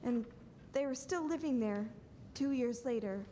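A young woman acting a composed, folksy storyteller who narrates a radio play at a measured pace. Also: warm tone, natural voice. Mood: storytelling. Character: composed storyteller recounting a man's life. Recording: echoey auditorium with faint rumble.

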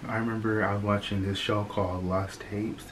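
A man's voice: on the low side, level tone, a little fast, fairly bright tone. A young man hosting a reaction video from his bedroom, talking loosely with slangy, playful asides. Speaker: reaction channel host. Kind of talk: casual reaction commentary. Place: bedroom, indoors. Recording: noisy home webcam audio.

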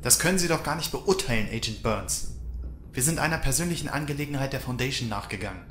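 A man's voice: medium pitch, animated, fast, a bit bright, slight background noise, lightly acted. A man acting a defiant, exasperated action hero's sidekick in an audio drama. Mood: guarded, defiant. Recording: roomy, echoey voice recording.

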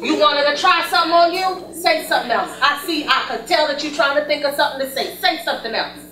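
A stage actress playing a brash, bossy, sharp-tongued church woman in a gospel play. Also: sits high, fast. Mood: threatening, sassy. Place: indoor stage in a furnished hall. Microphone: distant camera. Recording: distant echoey stage recording, loud music.

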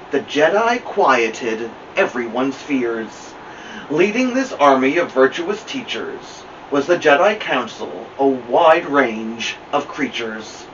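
A middle-aged man reading a children's picture book aloud as a storytime host, with dramatic, deliberate delivery. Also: medium pitch. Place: indoor room at home, seated by a plant. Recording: muffled, noisy, distant camera mic.

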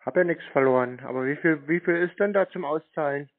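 A man's voice: fairly high pitch, brisk, no room sound. A man in a casual phone conversation, giving plain short replies and questions through a narrow phone-like sound. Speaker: scam baiter posing as customer.

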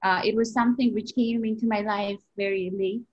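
A woman's voice: medium pitch, steady tone, fairly quick. A woman speaking as a filmmaker on an online panel, recalling personal experience. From Georgia, the country. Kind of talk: personal recollection in a panel discussion. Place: indoors on a video call. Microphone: video call. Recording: dull, lo-fi video-call audio.

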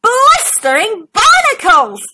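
Woman as a squeaky, brave little pirate girl. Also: huge pitch swings, slow pace, heavy background noise, full character voice. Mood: astonished, helpful. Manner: squeaky, exclaiming.

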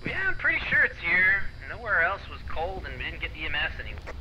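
Lively man on muffled game chat. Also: high-pitched, a little fast, slight background noise. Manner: joking, swearing. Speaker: co-op gaming partner.

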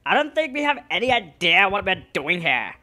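Cartoon little sister voiced by an actor: blunt and skeptical, very sharp tone, animated pitch. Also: normal speed. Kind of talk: cartoon voice acting.

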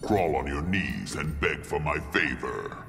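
An actor playing a tyrannical alien god in a video game, with a very deep gravelly voice and a slow, imperious, menacing manner. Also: male voice, animated, loud music behind the voice, heavily acted. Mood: imperious, cold. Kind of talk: video game villain acting.

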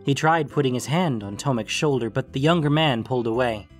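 A man's voice: medium pitch, fairly quick. A man reading scripted fiction as an audiobook-style narrator. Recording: music bed under the voice. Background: guitar music.

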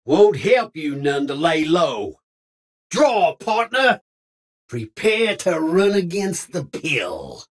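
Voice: medium pitch, very animated, takes it slowly, slightly rough, exaggerated voice. A voice actor playing a feisty folksy cowboy in a video game, speaking in an exaggerated frontier drawl full of old Western slang. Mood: menacing. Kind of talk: video game character voice lines.

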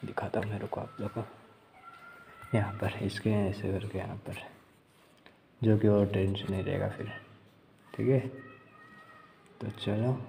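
A young man chatting casually to his phone as a vlogger, in a handheld recording. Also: on the low side. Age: young adult. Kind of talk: casual vlog chat to camera. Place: at home, moving between rooms, rooftop and yard. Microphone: handheld phone at arm's length. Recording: noisy handheld recording with ambient sound.

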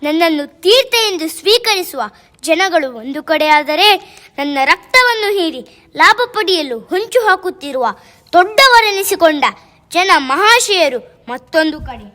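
A woman's voice: very high voice, lively intonation, unhurried, bright, forceful tone, full character voice. A woman voicing a weeping river spirit. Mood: sorrowful. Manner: lamenting, declamatory. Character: weeping female river spirit, sorrowful and wronged. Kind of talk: amateur radio play.

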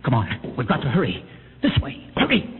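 An actor in a 1950s radio drama playing an urgent, protective young husband with earnest, dramatic delivery, on a noisy old recording. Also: male voice, mid-range voice, wildly swooping pitch, fairly quick, narrow, tinny audio.

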